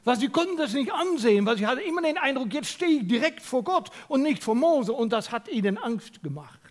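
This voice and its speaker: Dutch-accented preacher with wide-ranging pitch. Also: male voice, very animated, brisk. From Netherlands. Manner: emphatic, asks the congregation questions; swings from loud to near whisper. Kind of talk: sermon.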